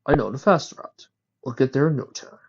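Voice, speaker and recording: A man voicing a kindly male pony traveler, tender, soft-spoken and playful, for a story. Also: mid-range voice, normal speed, slightly rough, highs cut off. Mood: reassuring.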